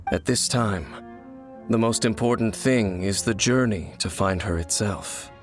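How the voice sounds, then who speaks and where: A low male voice , an actor's, plays a mysterious wanderer from a fantasy video game. He is grave and measured, choosing formal, cryptic words like a solemn guide. Music plays behind him. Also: natural voice. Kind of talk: scripted video game voice acting.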